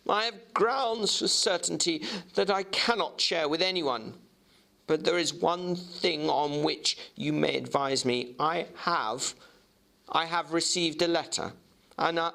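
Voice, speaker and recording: A man voicing an agitated, feverish gentleman doctor, fervent and faltering, in a dramatic book reading. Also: on the high side, gravelly, pitched above the performer's own voice, full character voice. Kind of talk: dramatic audiobook character reading.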